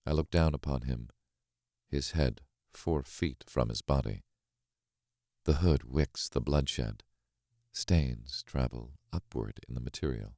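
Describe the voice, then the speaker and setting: A man narrating a thriller novel as a grave, watchful witness, measured and deliberate in his delivery. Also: rumbling low, gravelly, a little mellow, dry recording, clean studio sound, exaggerated voice. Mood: grave, suspenseful. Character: grave, watchful man recounting a horrific stage act. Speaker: audiobook narrator.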